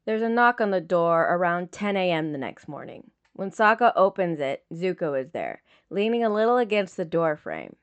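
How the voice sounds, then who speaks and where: A woman's voice: lowish voice, sing-song, normal speed, few pauses, clear, resonant tone, muffled recording. A woman narrating a story in an audiobook style, reading scripted fiction. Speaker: podfic reader.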